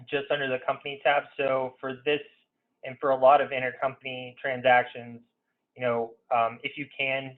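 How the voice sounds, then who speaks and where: A man giving an informal software demo as a consultant in a webinar, conversational and hesitant, often saying "you know". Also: mid-range voice. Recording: narrow phone-like webinar audio.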